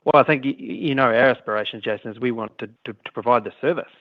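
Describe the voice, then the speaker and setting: A man speaking as a company executive, answering questions on an earnings call in a halting way, often repeating and restarting his words. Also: medium pitch, highs cut off. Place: conference call line. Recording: echoey conference call audio, band-limited.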